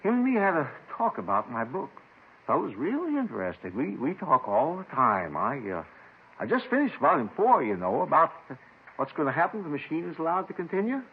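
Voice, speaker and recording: A paranoid, reclusive doomsday crank in a vintage radio drama. His fairly high, husky voice lurches up and down as he stammers and repeats himself, agitated and suspicious. The old recording is slightly dull. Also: wildly swooping pitch, brisk, heavily acted. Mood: eager, rambling. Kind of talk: 1950s radio drama acting.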